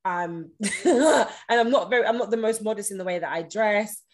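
A woman's voice: medium pitch, moderate pace. Direct, self-assured woman. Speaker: podcast guest. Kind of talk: casual conversation.

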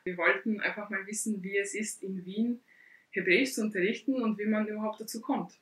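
A woman's voice: mid-range voice, steady tone, a little fast. A woman co-hosting a podcast who introduces the episode and asks friendly interview questions. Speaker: podcast co-host. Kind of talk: casual podcast chat. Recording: roomy, distant, faint music.

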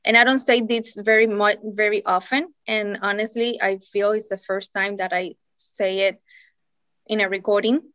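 A woman's voice: medium pitch, fairly even intonation, moderate pace, a bit bright, no room sound, muffled recording. A woman from Cuba, speaking hesitantly and reflectively as a guest in a personal podcast interview, often restarting her sentences.

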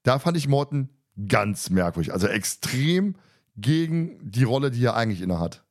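Male podcast co-host, mid-pitched voice, loose colloquial delivery with a teasing streak. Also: very animated, normal speed. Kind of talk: casual discussion of an audio drama.

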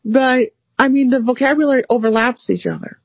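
A woman's voice: high-pitched, fairly even intonation, fairly quick, slightly rough, dry recording, dull audio. A woman from the United States chatting casually about learning languages, rambling with many fillers and restarts. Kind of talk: casual conversation.

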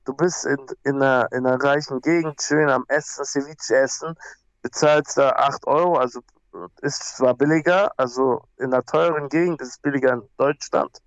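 A man's voice: mid-range voice, steady tone, moderate pace, dry recording, muffled recording. A young man from Peru, a guest talking casually and bluntly, with slang and many restarts. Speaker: guest, MMA fighter. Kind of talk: casual conversation.

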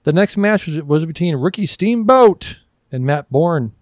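A slightly high-voiced man co-hosts a casual podcast. He mixes read-out facts with irreverent, loosely profane banter. The sound is dry and close, with no room around it. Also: animated, a little slow, darkish tone, no room sound. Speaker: podcast co-host. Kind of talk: casual banter, reading out facts between asides.

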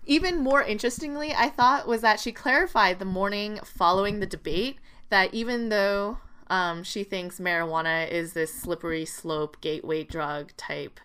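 A woman's voice: mid-range voice, normal speed, few pauses, sharp tone, smooth. A woman co-hosting a podcast, giving casual news commentary in a conversational way with plenty of "like". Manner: conversational, peppered with "like". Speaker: podcast co-host.

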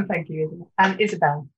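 A woman hosting an interfaith panel discussion in a measured, welcoming tone, now and then clearing her throat. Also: on the low side, lo-fi sound. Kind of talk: presenting and leading a short prayer.

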